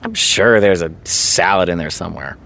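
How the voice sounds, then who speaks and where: An actor playing a lonely man who deflects with deadpan jokes. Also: low-pitched, normal speed, gravelly. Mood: coaxing. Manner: dry, deadpan joking. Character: lonely, wry man, maybe still drunk. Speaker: Warren. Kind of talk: web series drama acting.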